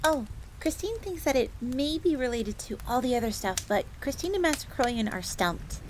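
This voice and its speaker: A woman's voice: high voice, normal speed. A woman playing an earnest, devoted young fox maid in a scripted fantasy roleplay audio drama, bookish and speaking of herself in the third person. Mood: puzzled, uneasy. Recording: steady ambient noise, crackling fire.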